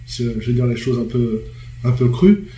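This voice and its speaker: A man with a hoarse, cold-roughened voice, speaking as a panel guest and restarting his sentences often. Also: mid-range voice, animated, dark tone. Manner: halting, many restarts. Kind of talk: casual studio discussion. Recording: echoey room, faint background noise.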